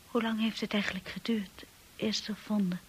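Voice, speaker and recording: An actress in a Dutch radio drama playing an insecure, earnest young wife who speaks in a hesitant, confiding way, often hushed. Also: female voice, mid-range voice, level tone, moderate pace, slightly rough. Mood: hesitant, probing.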